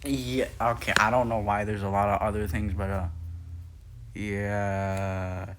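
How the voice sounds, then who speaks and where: A teenage boy explains things for his channel in a loose, meandering way, trailing off and restarting often. His voice sits a little low for a young man. He sounds unscripted and easygoing. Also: little pitch movement, unhurried, a bit bright, a little hiss or hum. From Nepal. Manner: rambling, hesitant, many fillers and restarts. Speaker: youtuber. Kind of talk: casual lore video narration.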